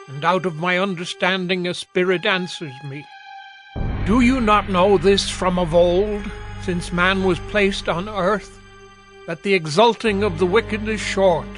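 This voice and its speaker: An actor playing an indignant, moralizing man who condemns the wicked in a declamatory, admonishing manner, in a dramatized scripture reading. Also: high-pitched. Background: music.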